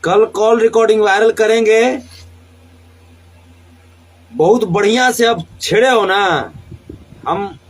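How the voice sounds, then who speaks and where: Male, high voice, Bhojpuri accent; defiant, confrontational live-rant delivery with emphatic repetition. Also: a little slow, poor audio. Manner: combative, defiant, swearing, repeats phrases for emphasis. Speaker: singer. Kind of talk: angry live social media rant.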